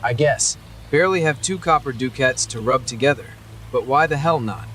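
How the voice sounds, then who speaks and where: A man's voice: medium pitch, normal speed, a bit bright, a little hiss or hum, close to an everyday voice. A man plays a young adventurer with a mid-range voice. He is casual, hopeful and laid-back, in the style of a fantasy visual novel. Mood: easygoing.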